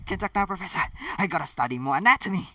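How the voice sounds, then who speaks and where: A man voicing an eager, frantic teenage schoolboy in an excitable, rushed way for a story. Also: high-pitched, no room sound, far from the mic, voice pushed up, heavily acted.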